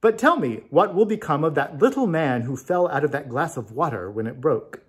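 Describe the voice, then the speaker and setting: A man's voice: a little high, expressive, moderate pace, slightly rough. A man voicing a determined, weary yet bold traveling wizard in a storybook reading. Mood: pleased, curious.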